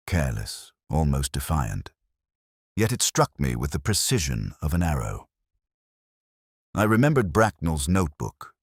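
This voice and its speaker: A man reading scripted first-person mystery narration in a measured, literary, brooding manner. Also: extremely low pitch, slightly rough, no room sound, studio quality.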